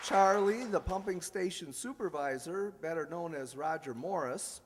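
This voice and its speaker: High-pitched man announcing in an echoey hall. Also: lively intonation, moderate pace, crowd noise. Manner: jokey, ad-libbing. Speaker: announcer and host. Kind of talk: live old-time radio show announcing. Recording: echoey hall with background hiss.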